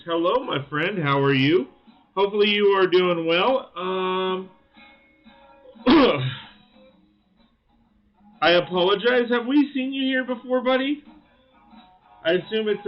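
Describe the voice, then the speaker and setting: A man hosting a casual live stream, thinking aloud with lots of fillers and greeting viewers, his voice slightly raspy. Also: high voice, lively intonation, slow, long pauses, highs cut off, faint music behind. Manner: friendly greetings to chat viewers. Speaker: livestream host. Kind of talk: casual live-stream chat while building.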